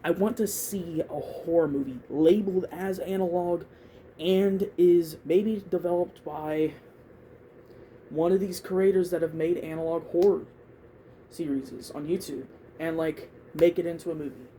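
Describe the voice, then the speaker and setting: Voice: medium pitch, fairly even intonation, measured pace, dark tone, slight background noise, rough recording. A vlogger of unclear gender rambling casually through opinions, thinking aloud and repeating words in a hesitant way. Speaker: YouTube video creator giving opinions.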